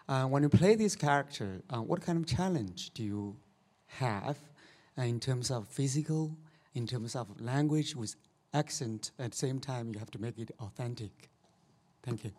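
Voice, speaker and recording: Halting man TV journalist. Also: mid-range voice, animated, a little mellow. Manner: halting, with many uh's. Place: press conference room.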